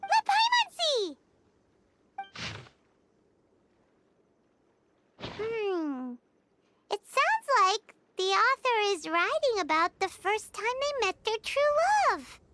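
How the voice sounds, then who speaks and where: A woman voicing a tiny, excitable, childlike fairy companion in an anime-style video game, bubbly and chatty. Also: very high voice, takes it slowly, a little hiss or hum, exaggerated voice. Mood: curious. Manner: bubbly, talks of self in third person.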